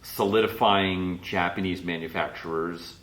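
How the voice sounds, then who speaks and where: A man's voice: sits low, steady tone, unhurried, fairly bright tone. A man co-hosting a podcast, talking casually with lots of fillers and restarts. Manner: rambling, many fillers and restarts. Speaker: podcast co-host. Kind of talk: casual chat. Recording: roomy echo.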